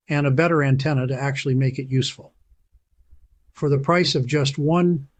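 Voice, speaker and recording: A man reading a scripted voice-over as channel host, persuasive and emphatic, slowing down to stress key phrases. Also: mid-range voice, dry recording.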